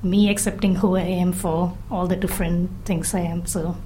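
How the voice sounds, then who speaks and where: A young woman from Malaysia, a PhD student speaking softly and reflectively as a podcast guest. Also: lowish voice, level tone, moderate pace. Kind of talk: casual conversation. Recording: faint background noise.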